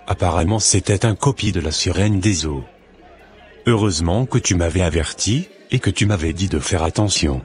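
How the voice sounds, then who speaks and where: A man's voice: sits low, normal speed, faint music behind, close to an everyday voice. A man playing a cautious, devout young son in scripted cartoon dialogue, speaking earnestly as if advising. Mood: relieved.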